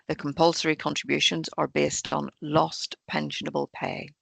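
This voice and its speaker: A woman talks through pension rules in a webinar, her hoarse voice deeply gravelly and full of fry. She keeps an even, explanatory pace over a video call. Her voice is dry and close, with no room sound at all. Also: normal speed, no room sound. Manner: steady, explanatory, reads through rules point by point. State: croaky, hoarse voice. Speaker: pension fund trainer. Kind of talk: presenting an online employer webinar.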